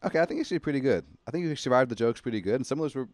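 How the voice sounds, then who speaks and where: A young man with a slight speech impediment, talking quickly and joking loosely as a podcast host. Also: mid-range voice, lively intonation, a little mellow, no echo. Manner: fast-talking, jokey, swears freely. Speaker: podcast host and stand-up comedian. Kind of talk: casual podcast chat.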